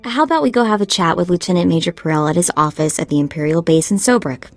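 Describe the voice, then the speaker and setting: Woman voicing young techie sidekick girl in a game, bit low pitched, keen and breezy, informal. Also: fast, no echo, soft background music, natural voice. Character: keen, casual young female hacker sidekick. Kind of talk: video game cutscene acting.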